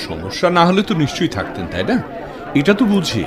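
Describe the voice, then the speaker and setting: A man's voice: on the high side, huge pitch swings, quick pace, over music, a crowd in the background. An actor playing a considerate, practical male relative who talks politely and reassuringly in a Bengali radio drama.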